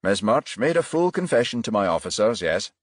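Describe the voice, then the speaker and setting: A man voicing a businesslike senior police chief inspector with a measured, official manner in an audiobook. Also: lowish voice, very animated, no echo, studio quality. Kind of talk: audiobook character voice.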